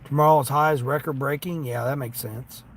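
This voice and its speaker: A man with a light Southern American accent talks in a mid-pitched voice, rambling casually like a driver hosting a livestream. His speech is folksy and unscripted. A faint vehicle noise sits behind him. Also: moderate pace. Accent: American with a Southern tinge. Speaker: livestream host, gig delivery driver. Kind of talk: casual livestream chat, replying to viewers. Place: inside a parked vehicle. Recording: steady vehicle and ambient noise.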